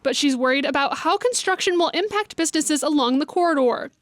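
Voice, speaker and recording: A woman's voice: high-pitched, lively intonation, fairly quick. A woman reporting local news in a scripted narration.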